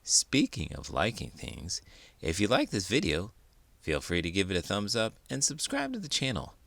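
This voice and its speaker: A man's voice: lowish voice, huge pitch swings, normal speed, vocal fry, a bit bright, dry recording. A man reading a children's book aloud as a host, with dramatic, emphatic delivery. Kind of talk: expressive storybook read-aloud.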